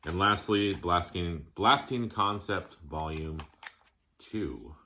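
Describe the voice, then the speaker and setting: A man with a very low-pitched voice and a bright, forceful edge talks through a collection in casual vlog style. He is plainspoken and moves from item to item in short, halting phrases. There is faint noise and clicking behind him. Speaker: music collector showing his collection. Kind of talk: casual show-and-tell vlog.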